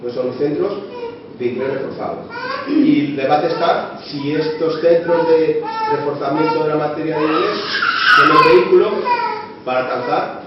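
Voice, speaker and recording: Man, debate organizer, rambling with tag questions, far from the mic, boomy room, muffled sound, background noise. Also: normal speed, heavy reverb. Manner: rambling, full of "¿no?" and "o sea" tags. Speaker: debate organizer introducing speakers. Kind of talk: spoken introduction at a public debate. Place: meeting room of a local association.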